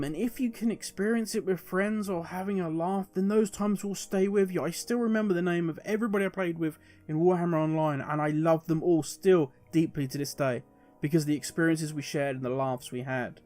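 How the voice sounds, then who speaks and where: A man's voice: a little high, a little mellow. A man hosting a gaming video, speaking earnestly and emphatically as he gives his opinion straight to viewers and poses rhetorical questions.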